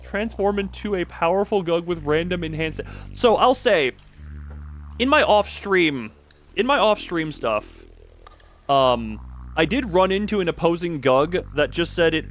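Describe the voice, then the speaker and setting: A man livestreaming a game with casual, excitable commentary, rambling and thinking aloud to himself. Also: sits high, unhurried. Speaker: game streamer. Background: music.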